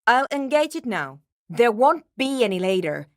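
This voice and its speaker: A woman's voice: on the high side, big pitch swings, moderate pace, dry recording, clean studio sound. A woman playing an earnest, exacting programmer and radio technician in a video game, speaking in precise, formal, clipped technical phrases. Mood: urgent. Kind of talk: video game character dialogue.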